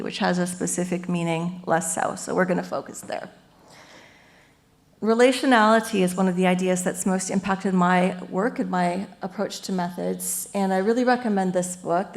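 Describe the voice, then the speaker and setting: A woman professor giving an academic lecture at a symposium, lively and earnest, explaining ideas through everyday metaphors. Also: on the low side, creaky. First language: English. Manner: lively and earnest, with frequent "you know" and "um".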